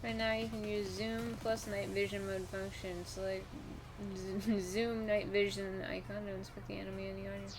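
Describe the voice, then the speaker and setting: A woman's voice: mid-range voice, flat delivery, moderate pace, background noise. A woman streaming a video game, chatting casually with a co-host. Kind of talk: casual gameplay chat with a co-host. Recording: loud game music under voice. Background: game music.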